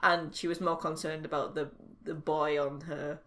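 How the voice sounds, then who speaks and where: Wry woman with a soft Yorkshire accent. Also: deep voice, little pitch movement, normal speed. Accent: soft Yorkshire English. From Yorkshire, England. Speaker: podcast co-host. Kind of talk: casual chat.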